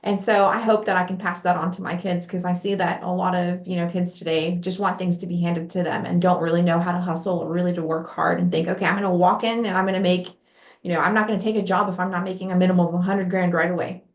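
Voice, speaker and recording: A woman from Texas, a guest entrepreneur, chatting casually in a relaxed way, with many fillers. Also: on the low side, quick pace. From Texas, USA. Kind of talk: casual interview chat. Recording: echoey, dull lo-fi remote audio.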